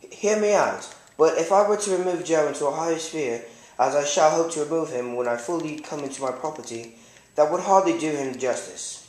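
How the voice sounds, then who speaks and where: A man's voice: fairly high pitch, a little fast, a little mellow, natural voice. A man playing a proud, condescending young man, reading first-person literary narration and dialogue formally in an amateur puppet show. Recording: echoey room.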